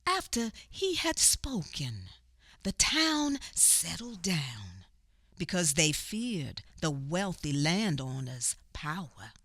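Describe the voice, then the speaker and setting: Grieving Southern female narrator, radio drama, extremely slow, halting, emotive, bright forceful tone, murmured asides. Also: lowish voice, big pitch swings, full character voice. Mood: sorrowful, reflective. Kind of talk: dramatic radio theatre storytelling.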